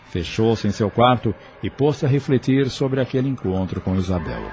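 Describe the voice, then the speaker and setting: A man's voice: medium pitch, lively intonation, moderate pace, a little mellow, soft background music. A man narrating an audio novel in a scripted, dramatic storytelling style, with faint ambient noise.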